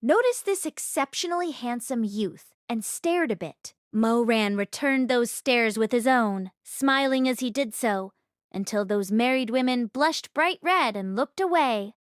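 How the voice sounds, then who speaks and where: A woman reading a novel aloud as an audiobook narrator, in an expressive storytelling style. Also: fairly high pitch, unhurried, no room sound, clean studio sound. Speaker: audiobook reader.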